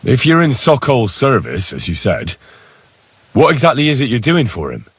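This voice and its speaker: A man's voice: mid-range voice, huge pitch swings, moderate pace, breathy edge, no echo, full character voice. An actor playing an indignant, forthright man-at-arms in a game, arguing directly. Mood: probing. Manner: argumentative, direct.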